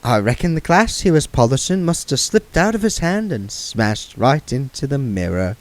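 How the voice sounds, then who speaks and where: A man's voice: medium pitch, very animated, normal speed, pitched above the performer's own voice. A man voicing a sly old woman witch who plays sweet and naive. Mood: sweetly sly.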